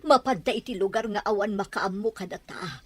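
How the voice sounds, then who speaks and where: A woman's voice: medium pitch, a little fast, husky, no echo, lightly acted. A woman with an Ilocano accent playing an anguished, middle-aged battered wife and fearful, pleading mother in an audio drama. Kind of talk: Ilocano radio-style drama acting.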